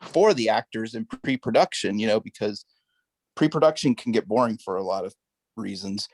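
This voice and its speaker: A man speaking in a casual group discussion, measured and a little hesitant. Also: fairly low pitch, lively intonation, darkish tone, no room sound. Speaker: study group participant.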